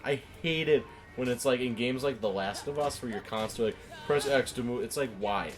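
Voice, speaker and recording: A man's voice: mid-range voice, normal speed, faint music behind. A man co-hosting a gaming show, chatting casually over gameplay in a teasing, opinionated, rambling way.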